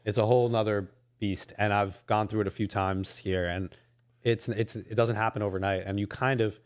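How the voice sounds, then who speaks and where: A young man from New York, a podcast guest, telling stories in a relaxed way full of likes and ums. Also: fairly low pitch, moderate pace, smooth. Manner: relaxed, filler-heavy storytelling. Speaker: guest, head grower. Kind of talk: casual chat.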